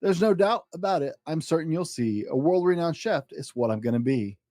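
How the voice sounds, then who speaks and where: A man's voice: on the high side, brisk, no echo, clean studio sound, lightly acted. A man voicing an exuberant, boastful eight-year-old schoolboy in a theatrical, rhyming picture-book story. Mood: grandly boastful. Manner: excitable, grandiose, theatrical.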